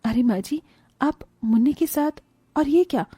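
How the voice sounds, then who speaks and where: A woman playing a kind, nurturing young mother and aunt in an animated family story, speaking gently and soothingly. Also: sits high, a little slow, slight background noise. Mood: concerned, caring. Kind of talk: animated Hindi moral story dialogue.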